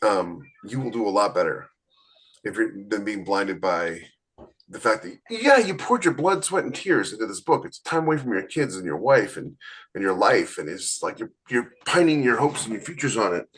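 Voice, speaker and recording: Husky, animated man, emphatic. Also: lowish voice, normal speed. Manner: emphatic, repeats points for stress. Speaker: podcast co-host, author. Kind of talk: casual podcast chat.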